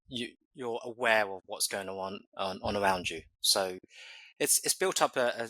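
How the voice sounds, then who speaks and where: Bright-voiced English man, thoughtful guest. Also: on the low side, no room sound, low-quality recording. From England. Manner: thoughtful, many fillers and restarts. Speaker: podcast guest. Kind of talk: conversational interview answers.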